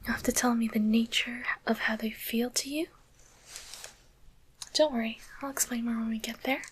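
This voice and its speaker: A woman playing a softly teasing, curious demon doctor in a coaxing, deliberate whisper for an ASMR roleplay, close to the microphone. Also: on the high side, fairly even intonation, slight background noise, natural voice. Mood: calm, instructive.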